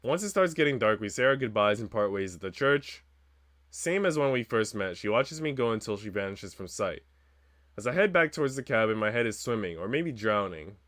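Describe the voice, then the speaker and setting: A man's voice: on the low side, animated, brisk, a bit bright. A man narrating a visual novel aloud as a streamer, reading out first-person story text. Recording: game music behind the voice. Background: visual novel music.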